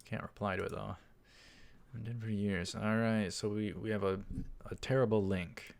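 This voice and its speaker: A man's voice: on the low side, steady tone, moderate pace, gravelly. A man hosting a let's play, reading a visual novel aloud and adding casual commentary. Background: faint music.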